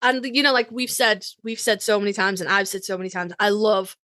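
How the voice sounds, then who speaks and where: A woman's voice: medium pitch, brisk, a bit bright, dry recording. A woman co-hosting a podcast, giving blunt, sweary opinions in casual fan chat. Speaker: podcast co-host.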